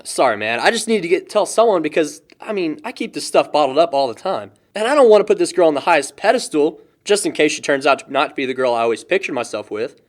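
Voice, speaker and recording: A man's voice: a little high, expressive, fast, natural voice. A young man playing a lighthearted, chatty, lovestruck guy in a casual audio drama, joking and rambling. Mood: earnest, smitten. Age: young adult.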